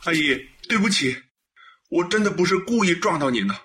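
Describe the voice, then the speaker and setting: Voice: medium pitch, expressive, brisk, fairly bright tone, lightly acted. A performer acting a panicky, apologetic young man in a radio drama, stammering and frantic. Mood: apologetic, flustered. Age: young adult.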